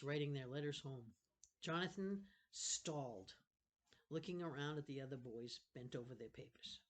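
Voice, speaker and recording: An older woman reads a novel for school-age children. Her storytelling slips easily into informal, rambling asides, where she stops and corrects herself as she goes. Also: a bass voice. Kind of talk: read-aloud storytelling with chatty asides.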